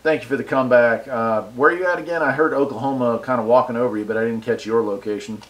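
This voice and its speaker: An American man chats casually as he reviews gear for a video. His voice sits at a middle pitch, and he sounds enthusiastic and folksy. He is recorded on a wireless mic. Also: fast talker, a bit bright, a little hiss or hum. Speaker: radio hobbyist and video reviewer. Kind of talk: casual product review and radio chat. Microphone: wireless mic set between him and the radio.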